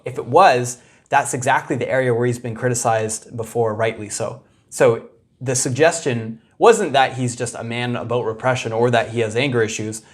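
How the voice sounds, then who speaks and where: A young man talking in a casual, loose way as he comments on a clip. He hesitates, restarts and laughs often, with a middle-pitched voice. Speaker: commentator, video host.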